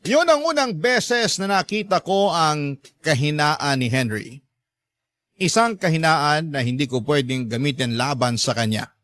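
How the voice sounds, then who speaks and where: A man's voice: a little high, animated, a little slow, fairly bright tone, dry recording. Dry, close-miked male story narrator. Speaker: radio drama narrator and host. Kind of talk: first-person scripted story narration.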